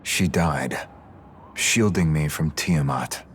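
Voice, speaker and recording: An actor playing a severe, brooding middle-aged man in a video game, speaking in a solemn, measured and dramatic way. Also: rumbling low, a little hiss or hum. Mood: weary resignation. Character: brooding, severe older male ideologue, cold and resolute. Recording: dramatic music behind the voice.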